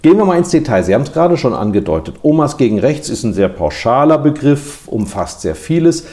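A man's voice: mid-range voice, very animated, fairly quick, a little mellow. An older man hosting an interview, asking questions in a jovial way with frequent quips. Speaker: interviewer, host.

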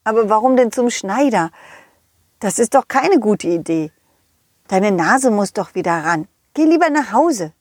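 A woman's voice: medium pitch, lively intonation, unhurried, darkish tone, no echo, clean studio sound, pitched below the performer's own voice. A woman voicing a confident, loyal dog in a children's audio play, encouraging and reassuring, always offering a solution. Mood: caring. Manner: encouraging, reassuring problem-solver.

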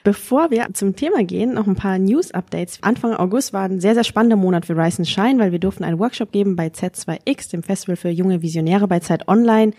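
A woman's voice: medium pitch, fairly quick, hardly any pauses, dark tone. A woman co-hosting a podcast, talking casually in an informal, colloquial way. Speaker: podcast co-host.

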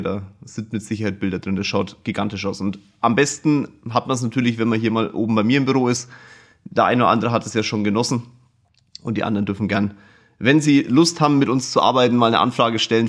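A man's voice: medium pitch, fairly bright tone. A man, hosting his own podcast and telling his life story in a casual, frank, self-deprecating way from his office. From Kulmbach, Upper Franconia, Germany. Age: 40s. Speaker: podcast host. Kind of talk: casual personal storytelling monologue.

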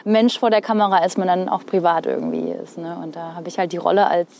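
A woman's voice: fairly low pitch, fast, background noise. A young woman from northern Hesse, a TV weather presenter, chatting casually and thoughtfully in an outdoor interview, with many fillers. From northern Hesse, Germany.

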